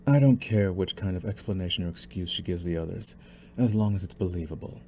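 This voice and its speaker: A man's voice: deep voice, a little fast, warm tone, a little hiss or hum. A man playing a young, possessive mafia boss in a scripted ASMR audio roleplay, taunting and condescending in a theatrical, menacing way. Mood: smug menace. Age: young adult.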